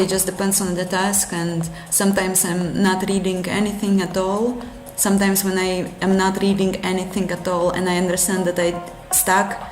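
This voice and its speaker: A woman from Russia with a Russian accent, a game engine developer who thinks aloud on a live coding stream and answers chat in halting, self-correcting English. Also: fairly low pitch. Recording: roomy with music playing behind.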